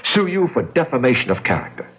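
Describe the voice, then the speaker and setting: Very husky, earnest lawyer, radio drama. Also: male voice, mid-range voice, sing-song, quick pace, fairly bright tone. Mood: stern. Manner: hesitant, reasoning, protesting. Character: earnest, exasperated male lawyer, sceptical fiancé. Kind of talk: vintage American mystery radio drama acting. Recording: dull, lo-fi old radio recording.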